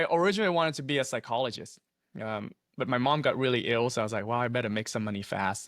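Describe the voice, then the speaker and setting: A man's voice: medium pitch, a bit bright, no room sound. Vietnamese-born man, 30s, earnest guest on a video call, frequent fillers, dry close sound. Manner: earnest, laughs often, many fillers and restarts. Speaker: guest, insurance agency owner. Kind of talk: casual interview storytelling. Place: small home office, indoors.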